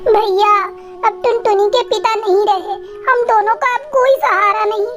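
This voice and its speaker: Cartoon widowed mother bird, acted by a woman, tiny very high voice, plaintive, humble, music underneath. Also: steady tone, measured pace. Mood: sorrowful, pleading. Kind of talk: Hindi cartoon moral story acting.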